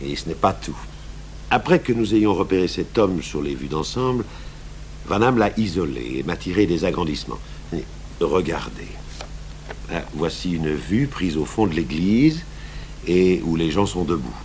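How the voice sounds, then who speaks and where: A man acting a thoughtful, stubborn police commissioner in a French radio crime drama, speaking deliberately with hesitant pauses. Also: deep voice, expressive, darkish tone. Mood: measured, persuasive. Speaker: actor playing a police commissioner. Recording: noisy 1980s radio recording with hum.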